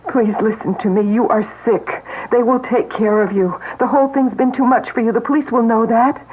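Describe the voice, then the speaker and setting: A woman's voice: fairly high pitch, fairly even intonation, a little fast, slight background noise, close to an everyday voice. An actress playing a frightened woman who pleads and warns urgently, in a radio drama. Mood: pleading. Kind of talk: 1970s radio drama acting. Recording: tinny lo-fi old radio broadcast.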